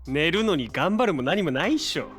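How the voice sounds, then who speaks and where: An actor playing a brash, warm-hearted young man with casual, slangy speech in a Japanese audio drama. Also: on the high side, sing-song, a little fast, soft background music. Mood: flippant.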